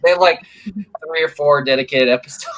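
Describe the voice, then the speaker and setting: A woman with a low-pitched voice chats casually as a podcast guest. Her intonation swings widely, with a giggly, excited manner. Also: moderate pace, poor audio. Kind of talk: casual gaming chat.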